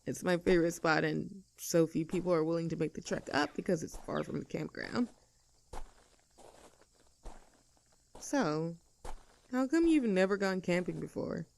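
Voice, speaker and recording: Gravelly-edged, upbeat tomboy friend acted by a woman. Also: lowish voice, darkish tone. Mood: playful, instructive, then reflective, reassuring. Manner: encouraging, reassuring, chatty. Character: young outdoorsy tomboy friend, upbeat, caring, teasing. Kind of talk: roleplay audio acting, casual intimate chat.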